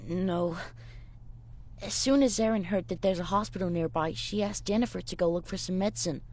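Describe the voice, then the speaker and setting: An actress playing an eager, earnest child survivor, speaking a little hesitantly in a game drama. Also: female voice, on the low side, normal speed, darkish tone, natural voice. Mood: earnest, shy. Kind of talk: game voice acting, dialogue.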